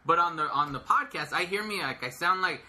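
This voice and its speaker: A man in his thirties with a high voice, rambling and laughing often as a podcast co-host in casual chat. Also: a little fast, sharp tone. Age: 30s.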